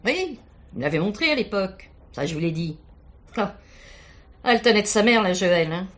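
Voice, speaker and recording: A woman's voice: medium pitch, animated, moderate pace, close to an everyday voice. An actress playing a plain-spoken, gossipy, indignant working-class woman giving testimony in colloquial, insinuating French radio drama style. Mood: confiding. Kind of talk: French radio play acting.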